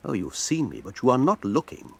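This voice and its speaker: An actor playing a sensitive, vain admiral in a historical radio drama, with measured, formal, wounded politeness. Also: male voice, mid-range voice, huge pitch swings, creaky, a little mellow, dry recording, full character voice. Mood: earnest. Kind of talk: full-cast historical radio drama acting.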